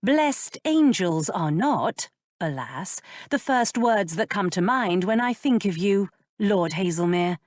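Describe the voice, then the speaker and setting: A woman voicing a quick-tempered, sharp-tongued young lady who speaks in a tart, exasperated way. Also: mid-range voice, lively intonation, no echo.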